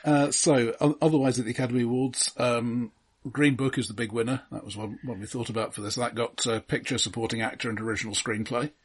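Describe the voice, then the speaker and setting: A man co-hosting a film podcast, talking casually in a measured, hesitant way with dry understatement. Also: mid-range voice, fairly even intonation, slightly rough.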